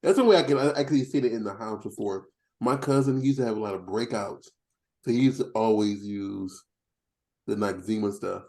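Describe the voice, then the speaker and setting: A man's voice: fairly low pitch, fairly quick, dark tone. A forty-year-old man chatting casually on a podcast, rambling and poking fun at himself. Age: 40s. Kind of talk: casual chat.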